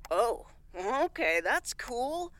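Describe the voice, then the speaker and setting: A woman's voice: on the high side, big pitch swings, drawn-out words, a bit bright, no echo, heavily acted. A woman playing an awkward, meekly agreeable and reluctant young person in an audio drama. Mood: uneasy.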